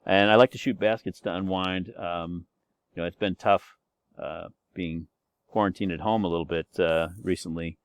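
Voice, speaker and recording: A man's voice: sits low, fairly even intonation, moderate pace, smooth, no room sound. A man in his sixties giving a recorded course introduction as the instructor, chatty and rambling with many fillers and restarts. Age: 60s.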